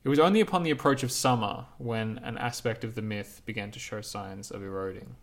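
Low-voiced man reading a reflective essay. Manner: measured, lyrical, unhurried delivery. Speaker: author reading his own essay.

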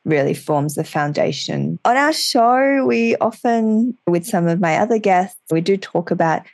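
Conversational host, woman, low and gritty, dead-dry studio. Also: lively intonation, measured pace, a little mellow, dry recording. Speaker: podcast host. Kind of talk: podcast interview. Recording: dry close sound.

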